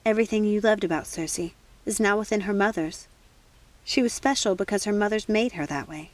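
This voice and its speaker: A woman voicing gentle, motherly witches in a storybook, tender, measured and sadly resigned. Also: mid-range voice, close to an everyday voice.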